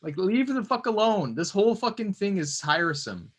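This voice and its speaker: A man's voice: high-pitched, big pitch swings, normal speed, slightly rough. A man hosting a casual comics podcast, talking loosely with lots of ums and uhs. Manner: rambling, hesitant, many fillers. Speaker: podcast host.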